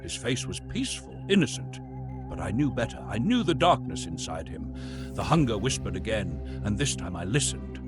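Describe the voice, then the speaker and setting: A man's voice: on the low side, sing-song. A man as brooding sinister old Santa, music behind. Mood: haunted. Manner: brooding, deliberate, theatrical. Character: sinister old Santa, weary, tormented, confessing his dark corruption. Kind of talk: dramatic horror monologue narration.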